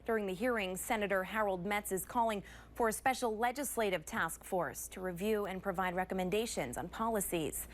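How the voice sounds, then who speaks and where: A woman with an American accent anchors a newscast. Her voice sits at a middle pitch as she reads scripted stories in broadcast style, with some noise in the recording. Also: brisk. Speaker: news anchor. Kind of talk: scripted news broadcast narration.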